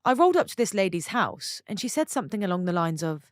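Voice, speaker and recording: A woman with a low, lively voice narrates stories for her channel. She breaks off for chatty, expressive asides to her viewers. The sound is close and dry. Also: fast talker, no echo. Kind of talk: reading online stories aloud with own comments.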